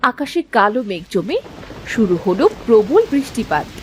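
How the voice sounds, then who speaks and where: A woman's voice: medium pitch, very animated, moderate pace, slight background noise. A woman giving scripted narration of a village folk story as its narrator.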